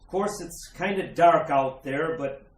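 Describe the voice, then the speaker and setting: A man's voice: fairly high pitch, unhurried, natural voice. A man playing a polite, puzzled older judge in retro radio drama style, hesitant, stammering and folksy. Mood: uneasy, uncertain. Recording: echoey, roomy recording.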